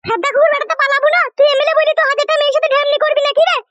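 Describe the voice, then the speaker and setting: Very shrill, childlike scolding cartoon wife. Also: female voice, steady tone, rapid-fire, fairly bright tone, no room sound. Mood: threatening, angry. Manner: scolding, threatening, heavy rustic swearing. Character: foul-mouthed, indignant wronged wife of a politician. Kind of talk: comic cartoon dialogue.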